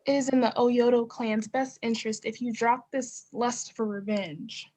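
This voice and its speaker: A woman acting as a loyal samurai envoy with a somewhat high voice. She is formal and stern, gently reproving, in the style of a staged drama reading. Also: steady tone, moderate pace, lightly acted, poor audio.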